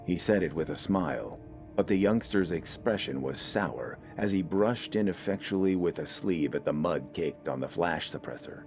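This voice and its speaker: Deep-voiced male story narrator. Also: animated, normal speed, a little mellow. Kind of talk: scripted fiction narration. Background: faint music.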